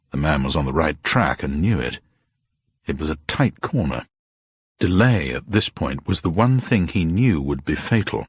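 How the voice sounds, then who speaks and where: A man's voice: sits low, breathy edge, dry recording, clean studio sound. A man reading a detective story as a dramatic scripted narration. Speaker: audiobook narrator.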